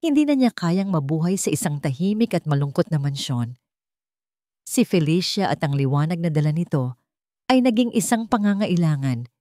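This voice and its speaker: A woman narrating a scripted dramatic story in measured, short phrases. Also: fairly low pitch, warm tone, dry recording, clean studio sound.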